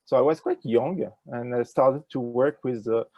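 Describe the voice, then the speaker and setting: An older man with a French accent, answering interview questions in a halting way with many fillers, speaking over a video call with a wired earphone mic. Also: medium pitch, no echo, dull audio. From France. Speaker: ecology professor, interview guest. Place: indoors with a virtual nature backdrop.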